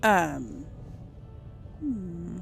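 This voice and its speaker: A woman with a fairly low voice and noticeable vocal fry chats through a video game. She rambles and thinks aloud, sounding unsure of details. Game music plays behind her. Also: sing-song, very slow. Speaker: video game let's-play host. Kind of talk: casual game commentary.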